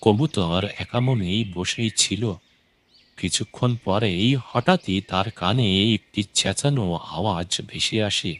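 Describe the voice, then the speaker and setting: A man's voice: fairly low pitch, unhurried, fairly bright tone, a little hiss or hum. A man narrating a scripted children's cartoon story.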